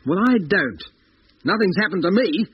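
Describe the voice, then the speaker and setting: A noisy vintage radio serial recording. a hot-tempered, suspicious spaceship designer with a fairly high voice that swings widely in pitch. He blusters and accuses with open scorn. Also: sing-song, moderate pace, lo-fi sound. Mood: paranoid, bitter. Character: paranoid, hot-tempered male spaceship designer turning on his crewmates. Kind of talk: 1950s British radio drama acting.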